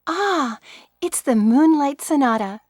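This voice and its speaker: A woman's voice: sits high, lively intonation, takes it slowly, darkish tone. A woman voicing a young female rookie medic in a 1990s video game, with stiff, stilted line reading. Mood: stiff, polite.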